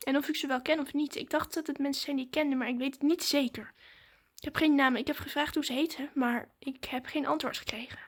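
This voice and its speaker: A woman. She talks like a vlogger, in casual, excited chat aimed at her viewers. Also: sits high, quick pace.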